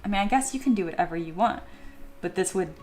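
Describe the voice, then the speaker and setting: A woman's voice: mid-range voice, expressive, quick pace, a little mellow. A young adult woman chatting casually on a live stream while she sculpts at home, reading viewer messages aloud and laughing often. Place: home studio.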